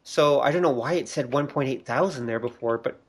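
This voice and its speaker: A Canadian man co-hosts a relaxed interview podcast in a medium-pitched voice. He is a little pedantic, adding small clarifications and correcting himself as he talks. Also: brisk, slightly rough. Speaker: podcast co-host. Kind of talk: casual interview chat.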